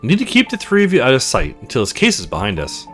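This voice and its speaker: A man voicing a shrewd, quietly vengeful older lawyer in a visual novel, speaking formally and deliberately. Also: mid-range voice, huge pitch swings, soft background music. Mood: grim, resolute.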